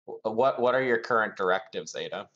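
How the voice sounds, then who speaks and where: A man's voice: on the low side, lively intonation, brisk, fairly bright tone. A man chatting casually as a player in a tabletop roleplaying game, on a lo-fi voice channel.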